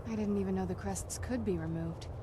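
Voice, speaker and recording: A woman's voice: mid-range voice, a little fast, background noise, natural voice. An actress playing a young vampire noblewoman in a video game, speaking in an earnest, reflective, conversational way. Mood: puzzled, intrigued. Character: young noblewoman vampire, wistful, earnest, daughter of castle lords.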